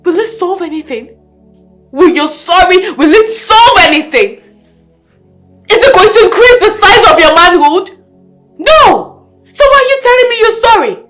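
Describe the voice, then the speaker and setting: A betrayed young wife in a film drama. Her very high, tearful voice swings widely in pitch and comes slowly and bitterly, over background music. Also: sing-song, fairly bright tone, full character voice. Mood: bitter, accusing. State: crying, tearful. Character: betrayed newly married wife, bitter and anguished. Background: music behind the voice.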